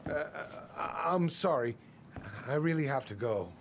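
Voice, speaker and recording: An actor playing an evasive, flustered middle-aged store boss in a video game, hesitant and fumbling. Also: male voice, on the high side, lively intonation, unhurried, gravelly, a little hiss or hum. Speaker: shop boss.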